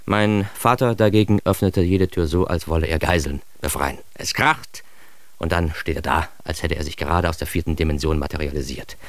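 Self-important narrator, low voice, wry, mock-grandiose, dry close studio sound, radio drama. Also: male voice, moderate pace, dry recording. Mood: wry admiration. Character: Klaus, ironic self-important man narrating his childhood. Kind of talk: scripted first-person radio drama narration.

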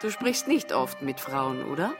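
A woman's voice: rumbling low, big pitch swings, a little slow, loud music behind the voice, full character voice. An actress playing an earthy, forthright married woman in a radio drama, direct, wry and emotional. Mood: wry, flirtatious. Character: earthy, forthright older wife, a former dancer.

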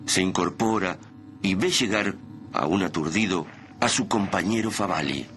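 A man with a deep, low voice narrates a dramatic radio serial. He reads scripted present-tense scenes. The recording carries noticeable background noise. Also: a little slow, a bit bright.

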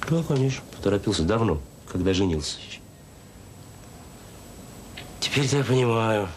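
An actor playing a rueful middle-aged factory manager in a radio drama, talking in a colloquial, hesitant, confiding way. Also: male voice, on the low side, lively intonation, moderate pace, darkish tone, lightly acted. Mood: rueful, wistful. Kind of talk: radio drama acting, intimate conversation. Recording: hissy old audio play recording.

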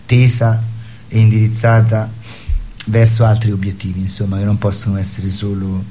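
A man arguing his opinions in an impassioned, polemical conversation, with halting, repetitive phrasing. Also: deep voice, mellow, slight background noise.

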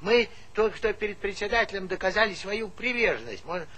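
A man's voice: sits high, animated, a little fast, a bit bright. An actor playing a talkative, stubborn old village grandfather in a stage comedy, folksy and argumentative, repeating himself. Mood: indignant. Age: older. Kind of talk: theatrical stage comedy acting. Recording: noisy 1970s theatre play recording.

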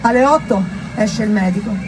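A woman's voice: mid-range voice, moderate pace, background noise. A woman performing a storytelling monologue in an emphatic, declamatory manner with short, pointed phrases. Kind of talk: dramatic theatrical storytelling monologue.

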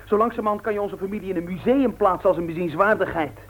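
An actor playing an indignant, sarcastic teenage schoolboy in a theatrical, mocking way, in a 1960s radio play. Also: male voice, high-pitched, fast. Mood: mocking, exasperated. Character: sarcastic teenage schoolboy son, indignant about his old-fashioned family. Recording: narrow, tinny 1960s radio recording.

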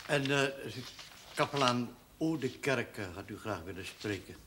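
Male actor as deferential notary's clerk, hesitant, middle pitch, television drama, clearly noisy recording. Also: expressive, a little slow, close to an everyday voice. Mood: timid, deferential. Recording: noisy old television soundtrack, clicks.

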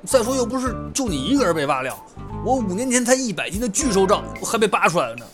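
A man's voice: high voice, big pitch swings, fast, over music. A man playing a friendly, considerate young member of a pop group in an audio drama. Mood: teasing.

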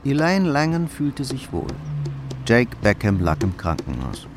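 An actor narrating a hard-boiled radio crime drama in a measured, matter-of-fact way. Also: male voice, medium pitch, wildly swooping pitch, music in the background.

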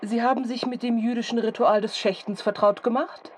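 An actor playing a composed radio news presenter, speaking in a formal, measured and articulate way. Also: medium pitch, steady tone, a little hiss or hum, natural voice. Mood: matter-of-fact. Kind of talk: formal scripted broadcast news reading.

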